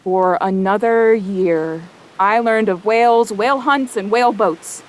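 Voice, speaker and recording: A woman's voice: medium pitch, unhurried, close to an everyday voice, poor audio. A woman playing the grave, wry seafaring narrator of a whaling tale, in dramatic scripted narration. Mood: storytelling.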